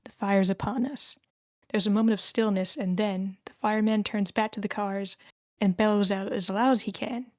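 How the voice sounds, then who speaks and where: A woman's voice: mid-range voice, steady tone, moderate pace, a little mellow, no room sound. A woman reading the stage directions of a screenplay as scripted narration at a table read.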